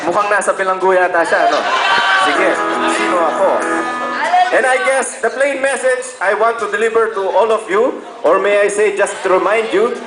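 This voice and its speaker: Filipino guy, kinda high voice, reading a letter out loud, goofy and stumbly, roomy echo. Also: moderate pace. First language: Tagalog. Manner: playful, stumbling, breaks into chuckles and side comments. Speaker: reader of a friend's message. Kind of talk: reading a letter aloud with joking asides. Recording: echoey room with loud music behind. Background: loud instrumental music.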